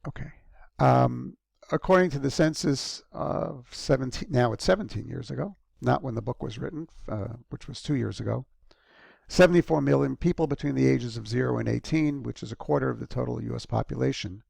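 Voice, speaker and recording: Gravelly-voiced male lecturer, dry close sound. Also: mid-range voice, a little fast, darkish tone, no echo. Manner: conversational, frequent ums and restarts. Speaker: university lecturer. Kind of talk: recorded course lecture.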